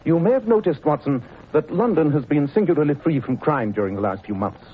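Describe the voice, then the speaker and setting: An actor playing a brisk, commanding Victorian detective in a 1940s radio drama, crisp and dryly cutting. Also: male voice, on the high side, expressive, lightly acted. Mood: grave. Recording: tinny old radio broadcast, faint hiss.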